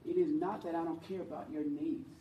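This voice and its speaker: A woman with a low voice teaches a group about leading worship, earnest and encouraging as she draws her listeners in. She is heard from across the room, the sound roomy and carrying a faint hum of ambience. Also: fairly even intonation, brisk, distant mic, lo-fi sound. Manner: earnest, encouraging, speaks to the audience and invites replies. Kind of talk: church teaching talk.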